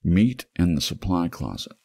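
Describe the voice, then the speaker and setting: A man reading a dark story as an audiobook narrator in an ASMR style, with a brooding, wry delivery. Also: low-pitched, very animated, moderate pace, gravelly, dry recording. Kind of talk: scripted noir fiction narration for ASMR.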